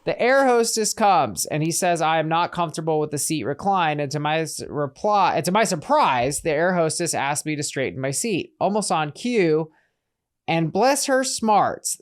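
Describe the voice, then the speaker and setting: A man's voice: on the high side, lively intonation, normal speed, clear, resonant tone. A man hosting a call-in podcast, joking with his guest and laughing easily, then reading a post aloud. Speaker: podcast host.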